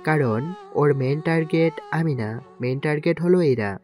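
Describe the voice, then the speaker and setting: A man's voice: on the high side, normal speed, a little mellow, natural voice. A man playing a resolute, protective young navy commander in an audio drama. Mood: serious. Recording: background music under the voice.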